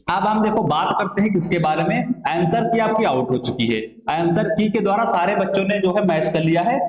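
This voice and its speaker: A man's voice: high voice, muffled recording. A man giving an explanatory exam-prep lesson to students as a coaching teacher, repeating phrases and answering his own questions. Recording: echoey room.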